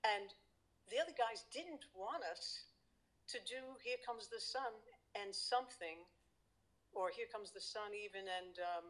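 A middle-aged woman chatting over a webcam from her home studio. She tells stories in a lively, chatty way and laughs easily. Her pitch sits in the middle of the range. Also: unhurried, poor audio. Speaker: guest, guitar professor. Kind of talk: casual video-call interview. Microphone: webcam on video call.

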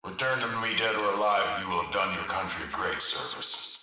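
A middle-aged American male villain for a military video game. He is ruthless and controlled, taunting in a low, hard-edged voice with heavy echo around it. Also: brisk, sharp tone. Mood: cold, taunting.

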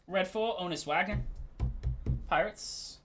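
A man's voice: high-pitched, slow, fairly bright tone, faint music behind. A man hosting a live sports card break, giving casual, laid-back commentary in short clipped call-outs.

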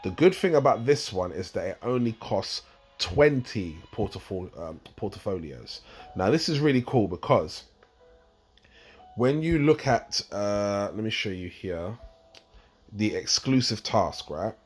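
A man with a deep, slightly croaky voice talks viewers through a game tutorial. He rambles casually and explains each step with plenty of fillers. Soft music plays faintly underneath. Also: lively intonation, unhurried. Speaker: gaming channel host.

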